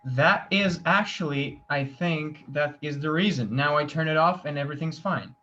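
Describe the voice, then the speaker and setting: A man's voice: on the high side, normal speed, bright, forceful tone. A man hosting a casual interview over a video call, informal and easygoing in his questions. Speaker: host. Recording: dull video call audio with faint noise.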